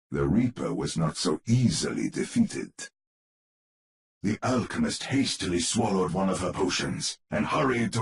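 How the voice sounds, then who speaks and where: An actor playing a grave storyteller, narrating a gothic horror tale in a theatrical, measured, ominous manner for a game. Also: male voice, deep voice, vocal fry, heavily acted. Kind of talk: dramatic scripted storybook narration.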